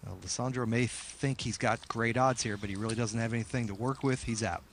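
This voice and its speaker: A man's voice: mid-range voice, fairly even intonation, a little fast, a little hiss or hum. A male poker analyst with a mid-range voice who breaks down the odds of every hand. His commentary is analytical and conversational.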